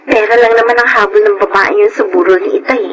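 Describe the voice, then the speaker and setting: A woman's voice: medium pitch, fairly even intonation, fast, a bit bright, slight background noise, lightly acted, rough recording. A woman playing a hurt, outspoken young adult daughter, earnest and emotional in a Filipino radio drama. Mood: relieved, emotional.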